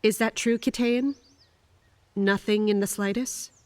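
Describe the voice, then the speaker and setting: A woman's voice: mid-range voice, steady tone, unhurried, no room sound, close to an everyday voice. A woman plays a military captain of an audio drama, formal and guarded. She chooses her words with restraint and carries a troubled weight. Her voice sits in a medium register and sounds very close and dry. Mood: composed, probing. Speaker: lead actor and first-person narrator. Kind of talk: audio drama acting with inner monologue.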